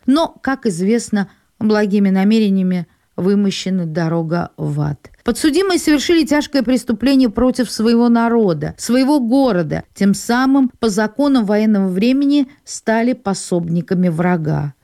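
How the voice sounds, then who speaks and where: A woman radio presenter delivering a scripted introduction in a formal, measured, earnest manner. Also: medium pitch, dark tone, dry recording, studio quality.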